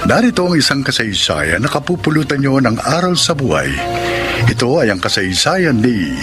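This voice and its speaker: Male radio-drama announcer, formal and measured, lively intonation, music bed behind. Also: medium pitch. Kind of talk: formal announcing of credits and moral.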